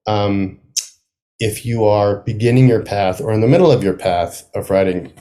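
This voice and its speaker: A middle-aged man speaking reflectively as a guest playwright in an interview, thoughtful and halting, often circling back to rephrase. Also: lowish voice, mellow, low-quality recording.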